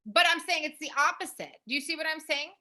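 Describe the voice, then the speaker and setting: A woman's voice: high-pitched, lively intonation, quick pace, bright, forceful tone. A young American woman co-hosting a casual advice chat, speaking emphatically and repeating her points. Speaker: host, language program founder.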